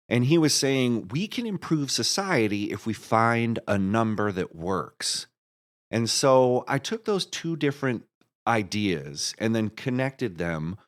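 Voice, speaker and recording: A man being interviewed as a guest, casually explaining his creative project in a rambling way, thinking aloud and restarting his sentences. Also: lowish voice. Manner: rambling, thinks aloud with repeated false starts. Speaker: guest, writer and director of an audio musical.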